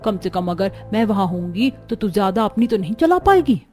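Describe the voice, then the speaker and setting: A woman's voice: medium pitch, very animated, brisk, darkish tone, soft background music, natural voice. Woman voicing a bossy old grandmother-in-law. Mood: stern, scornful. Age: older. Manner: scolding, commanding. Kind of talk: Hindi cartoon story voice acting.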